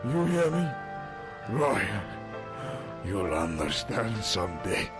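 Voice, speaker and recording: Guy playing a dying revolutionary, halting and slow, regretful, radio drama music behind him. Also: fairly low pitch, sing-song. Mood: anguished, fading. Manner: halting, broken phrases. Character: dying, idealistic male revolutionary, weak and regretful. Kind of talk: dramatic audio drama acting.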